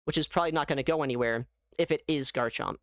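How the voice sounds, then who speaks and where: A man giving casual live gameplay commentary as a streamer, thinking aloud in an animated way. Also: fairly high pitch, fast talker, no echo, studio quality. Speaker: gaming streamer.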